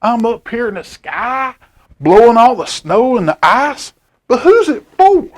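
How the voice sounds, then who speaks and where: A man's voice: very high-pitched, sing-song, a little slow, exaggerated voice. A man voicing a puzzled old male winter spirit in a storybook. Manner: wondering, questioning tone.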